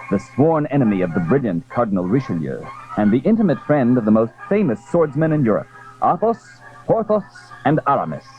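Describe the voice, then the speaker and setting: A man's voice: mid-range voice, narrow, tinny audio. A man narrating a classic adventure story in a dramatic, scripted style as the narrator of an audio drama.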